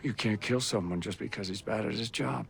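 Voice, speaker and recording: A man's voice: lowish voice, a little fast, creaky, slight background noise. An actor playing a stern army superior officer reprimanding his men in a clipped, commanding way. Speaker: senior military commander. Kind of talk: video game cutscene acting.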